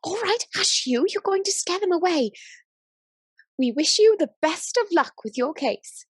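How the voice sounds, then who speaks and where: An actress playing a young female servant in a radio play, polite but gossipy and a little bossy, with an animated, confiding manner. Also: extremely high pitch, a little slow, dry recording. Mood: eager, hushing.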